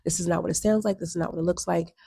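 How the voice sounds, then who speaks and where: A woman's voice: on the low side, fairly even intonation, racing, vocal fry, dark tone, breathy edge. A woman in her thirties giving blunt, sassy music commentary for her video channel, with lots of ums and asides. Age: 30s. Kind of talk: casual opinion commentary.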